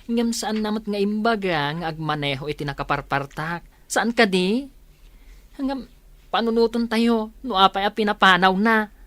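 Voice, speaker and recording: A woman's voice: mid-range voice, moderate pace, fairly bright tone, dry recording, natural voice. A woman playing a caring, practical sister in a radio drama, with a coaxing, sometimes hesitant manner. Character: caring, practical woman looking after her injured brother. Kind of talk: Filipino radio drama acting.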